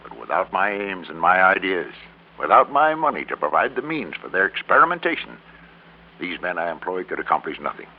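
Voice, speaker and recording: Grandiose, formal businessman, about sixty, vintage radio drama. Deep, gravelly delivery, tinny old recording. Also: sing-song, brisk, heavily acted. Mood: grandiose, fervent. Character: slight, gray-haired, self-important businessman of about sixty. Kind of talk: classic American radio drama acting.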